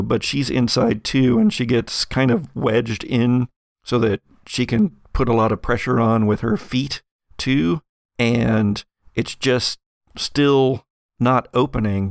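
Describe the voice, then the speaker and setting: A man working as game master, narrating a tabletop story in a halting, conversational, improvised way. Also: mid-range voice, gravelly, dry recording, studio quality.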